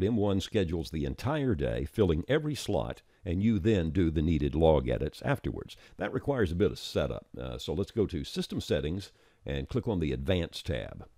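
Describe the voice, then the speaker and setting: A man with a very low, mellow voice walks the listener through software step by step. His tutorial narration is measured, with lively rises and falls in pitch, and it sounds close to the mic. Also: dry recording. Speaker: software developer giving a tutorial. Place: close-miked dry voice-over.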